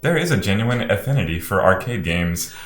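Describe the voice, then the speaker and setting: A man co-hosting a podcast, casually discussing a film in a measured, explanatory way. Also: lowish voice, lively intonation, a bit bright, echoey room. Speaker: podcast co-host.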